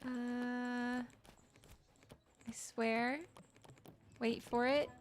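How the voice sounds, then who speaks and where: A woman's voice: on the high side, little pitch movement, extremely slow. Giggly young woman chatting on stream. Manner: giggly, chatty, talks to chat. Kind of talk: casual livestream chat.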